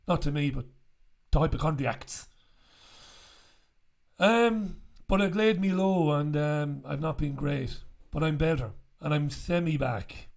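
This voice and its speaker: Croaky host guy, bit high voice, blunt and sweary, talking into a mic at home. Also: a little slow. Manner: chatty, blunt, swears freely. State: croaky after illness. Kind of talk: casual direct-to-camera chat. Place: small bright room indoors. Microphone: studio mic on stand in front.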